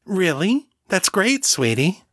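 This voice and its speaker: A man's voice: high-pitched, very animated, slow pace, fairly bright tone, no room sound, clean studio sound, voice pushed up, full character voice. A man voicing a tired mother who scolds and coaxes her toddler in an exasperated parental way, in an audiobook story. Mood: absent-minded fondness.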